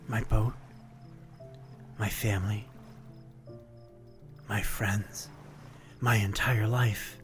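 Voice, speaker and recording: A man playing a melancholy, courtly vampire in an intimate ASMR roleplay, speaking politely in old-fashioned phrasing with a predatory undertone. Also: fairly low pitch. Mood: bitter, grieving. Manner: old-fashioned, polite, brooding, addressing one listener intimately. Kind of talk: ASMR roleplay, dramatic monologue.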